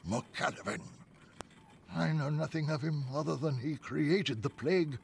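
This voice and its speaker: A man playing an old, weak and wary healer in a fantasy video game, speaking in a dramatic, halting way. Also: a little high, gravelly, a little hiss or hum. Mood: grave, weary. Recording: loud music behind the voice.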